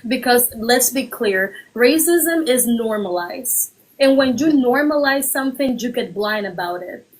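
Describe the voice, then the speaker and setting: A woman with a slightly raised pitch speaks as a guest on a livestream talk. She argues in a steady, deliberate way and drives her points home through repetition. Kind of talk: earnest argument on a livestream.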